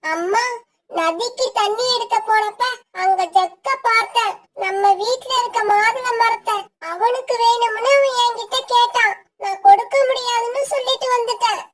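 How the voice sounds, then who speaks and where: A woman's voice: very high-pitched, normal speed, no room sound, clean studio sound, distant mic. A woman voicing an earnest, childlike young female bird in a children's cartoon. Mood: earnest, puzzled. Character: small earnest young female bird and gentle forest fairy.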